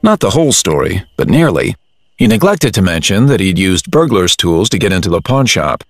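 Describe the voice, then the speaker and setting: A man's voice: low-pitched, very animated, normal speed, dry recording, clean studio sound. A man reading scripted narration for a dramatized audiobook, as storyteller.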